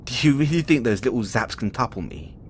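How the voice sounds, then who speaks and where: A man's voice: medium pitch, lively intonation, fairly quick, a little hiss or hum, lightly acted. A man voicing a playful, flirtatious male space demon who teases the listener in an audio roleplay. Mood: teasing, conspiratorial. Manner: playful, teasing, giggles often.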